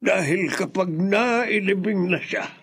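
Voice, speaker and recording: Radio horror: an old mystic stranger, husky, slow, high-pitched, grave warnings. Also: male voice, a bit bright, full character voice. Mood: ominous. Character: mysterious old male stranger, solemn seer. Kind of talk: radio drama acting.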